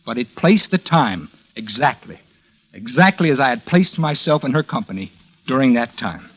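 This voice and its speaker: A man's voice: medium pitch, very animated, moderate pace, a little hiss or hum, lightly acted, rough recording. A man playing a wry confessing murderer. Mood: grim. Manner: dry, sardonic first-person confession. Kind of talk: 1940s radio drama acting. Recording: old radio broadcast with faint music.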